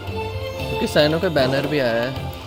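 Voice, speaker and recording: A man's voice: mid-range voice, racing. A man with an Indian accent giving casual livestream gameplay commentary, mixing English words into his speech. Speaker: live streamer. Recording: loud game music behind the voice.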